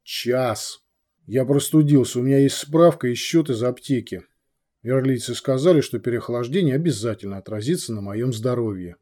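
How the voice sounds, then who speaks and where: A man's voice: medium pitch, moderate pace, warm tone, close to an everyday voice. A man voicing Yusur, a self-pitying male shas trader who complains in a weighty, tragic way. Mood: complaining.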